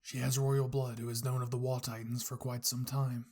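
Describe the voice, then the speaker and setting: Narrator voicing a grave, husky secretive pastor. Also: medium pitch, level tone, normal speed, darkish tone, natural voice.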